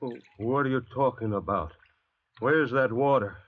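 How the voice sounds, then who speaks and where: An actor playing a surly, hot-tempered younger convict, blunt and sneering, in an old-time radio drama. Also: male voice, mid-range voice, normal speed, close to an everyday voice. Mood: sneering, impatient. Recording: thin, phone-like vintage radio recording.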